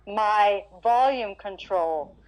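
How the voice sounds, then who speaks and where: A woman's voice: medium pitch, extremely slow, a little mellow, poor audio. A woman singer talking casually in an interview about her experiences on stage, often restarting her sentences. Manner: chatty, frequent restarts and stammers. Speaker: guest singer-guitarist.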